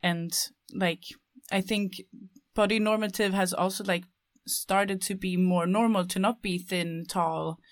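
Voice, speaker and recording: A woman's voice: medium pitch, fairly even intonation, unhurried, no echo, clean studio sound. A young woman from Sweden, a textile design student, chatting casually and hesitantly, with many fillers. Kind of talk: casual chat.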